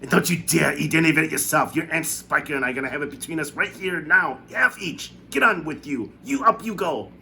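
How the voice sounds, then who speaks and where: A man's voice: on the high side, a little fast, vocal fry, few pauses, pushed and bright, slight background noise, pitched above the performer's own voice, exaggerated voice. A man voicing a fat, greedy aunt who schemes and bosses a boy around.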